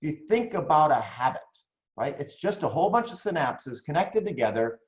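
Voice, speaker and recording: An American man giving a business coaching talk, conversational and animated, often checking in with "right?". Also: on the high side, a little fast. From United States. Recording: roomy, slightly dull lo-fi audio.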